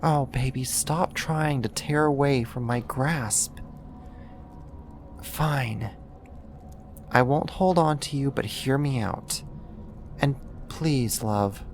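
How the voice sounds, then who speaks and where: A performer voicing a possessive yandere neighbor turned kidnapper in an intimate ASMR roleplay, coaxing and doting with a quiet menace and deliberate pauses. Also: mid-range voice, a little hiss or hum.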